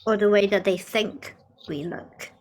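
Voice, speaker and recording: Animated young woman from Hong Kong. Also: medium pitch, measured pace. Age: young adult. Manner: animated, laughs often, acts out quoted remarks. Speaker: music therapist. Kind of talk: conversational discussion.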